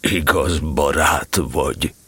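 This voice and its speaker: A man voicing a gloomy old grey donkey in a storybook, with a gravelly, husky voice and a slow, mournful, brooding delivery. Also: rumbling low, fairly even intonation, pushed and bright, no echo, voice pushed down, heavily acted. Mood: melancholy, resigned.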